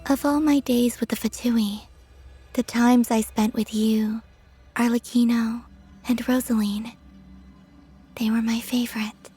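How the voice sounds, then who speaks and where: An actress voices an ethereal young woman in a game with a soft, mellow, breathy tone. She speaks slowly, close to a whisper, in a fairly high voice. Faint music drifts behind her. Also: fairly even intonation, natural voice. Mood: tender.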